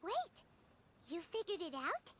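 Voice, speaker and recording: A woman voicing a small, childlike, excitable girl companion in an anime video game, with squeaky, exclamatory delivery. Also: a little slow, a little hiss or hum, exaggerated voice. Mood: astonished. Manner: exclamatory, wide-eyed questioning. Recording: game music behind the voice.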